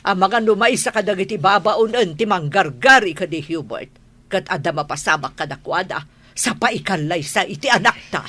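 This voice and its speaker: A woman's voice: fairly low pitch, animated, normal speed, a bit bright, no room sound. A woman playing a stern, suspicious, haughty mother who disdains housemaids in a radio drama. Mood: indignant, threatening. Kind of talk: Ilocano radio drama acting.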